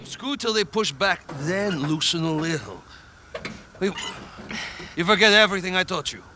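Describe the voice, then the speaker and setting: An actor playing a weary, gruff older mechanic and surrogate father in a video game, plain-spoken like someone telling his story. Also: sits high, expressive, normal speed, noisy background. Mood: impatient. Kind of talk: video game cutscene acting.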